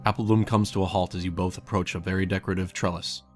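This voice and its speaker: A man's voice: low voice, level tone, quick pace. A man reading scripted fan fiction as the narrator. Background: music.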